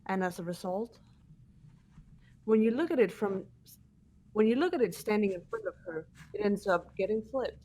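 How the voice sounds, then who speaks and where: A woman's voice: mid-range voice, normal speed, pauses often, dark tone, natural voice. A woman voicing a composed, incisive female student who explains evidence methodically in an amateur game dub. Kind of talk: amateur voice acting in a visual novel playthrough.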